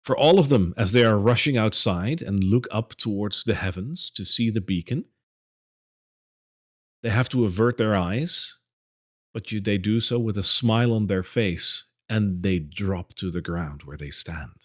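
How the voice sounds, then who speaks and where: A man narrating a tabletop game as game master, with descriptive narration and quick asides. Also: lowish voice.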